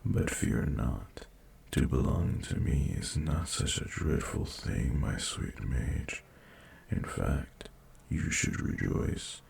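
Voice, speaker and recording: An ancient, possessive male dragon, acted by a man for a fantasy roleplay audio, with an extremely low voice full of heavy creak. He speaks slowly and formally, in a breathy, seductive murmur that often sinks close to a whisper, tender but sure that he owns you. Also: darkish tone, exaggerated voice. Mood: coaxing, tender. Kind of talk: intimate roleplay audio acting.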